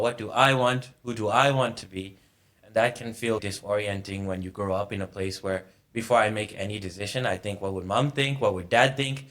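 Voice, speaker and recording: A man in his late twenties from Malaysia, a mortgage advisor talking as a guest in a relaxed, reflective way. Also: on the low side. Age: late 20s. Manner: thoughtful, reflective, easygoing storytelling. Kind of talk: casual interview conversation.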